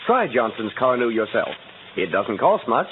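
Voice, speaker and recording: Man acting folksy animated garage man, tinny hissy radio. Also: medium pitch, moderate pace, natural voice. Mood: enthusiastic. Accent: American. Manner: folksy, enthusiastic. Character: folksy, enthusiastic male garage mechanic selling car polish. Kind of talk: vintage radio ad.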